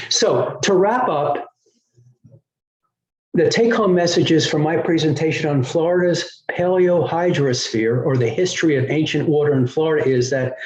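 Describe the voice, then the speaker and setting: A man presenting as a scientist, summing up academic material in measured, deliberate phrases. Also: fairly high pitch, a little mellow. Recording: echoey room sound.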